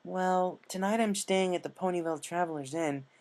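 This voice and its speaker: A man acts out a proud young female pegasus from a fan story. The pitch sits above his narrating voice, and the manner is stubborn and defiant. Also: moderate pace, a little mellow. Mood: subdued.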